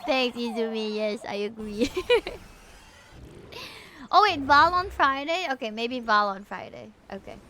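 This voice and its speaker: High-pitched woman streamer, chatty. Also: lively intonation, measured pace, sharp tone, slight background noise. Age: 30s. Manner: chatty, informal, talking to viewers. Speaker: streamer and VTuber. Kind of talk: casual livestream chat.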